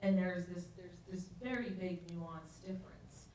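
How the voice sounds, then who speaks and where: A woman's voice: lowish voice, fairly even intonation, unhurried, darkish tone. A woman from Ethiopia speaking on a panel, explaining ideas thoughtfully with frequent restarts. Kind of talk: panel discussion. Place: large university event hall. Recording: echoey hall, far from mic.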